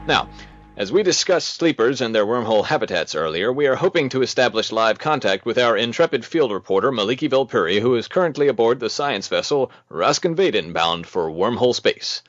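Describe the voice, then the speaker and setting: A man's voice: medium pitch, big pitch swings, fairly quick, fairly bright tone, no room sound, soft background music. A man playing a polished news anchor presenting a futuristic broadcast in an audio drama. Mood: composed. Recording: dull, dry lo-fi studio sound.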